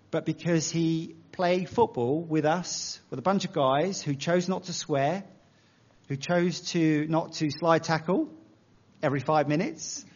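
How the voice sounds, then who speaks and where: A man's voice: on the high side, lo-fi sound. A man with an English accent preaching a sermon in a conversational, self-deprecating way, using everyday analogies.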